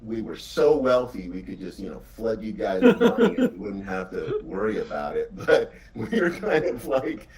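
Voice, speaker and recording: A man's voice: mid-range voice, very animated, normal speed, vocal fry, hardly any pauses, recorded from a distance, over a noisy crowd, poor audio. A middle-aged man chatting casually and informally as a game developer, with an enthusiastic, encouraging way of talking.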